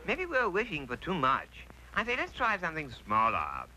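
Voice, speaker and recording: A man's voice: fairly high pitch, huge pitch swings, normal speed. A man voicing a naive, hapless, boyish fellow in a 1960s cartoon, with a prim, mild, apologetic manner. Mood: sheepish. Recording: noisy old cartoon soundtrack.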